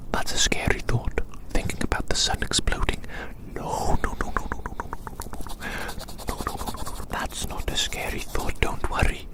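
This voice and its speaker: Hushed, whispering ASMR roleplayer. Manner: slow, repeats phrases, addresses listener as little friend. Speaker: ASMR performer. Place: outdoors.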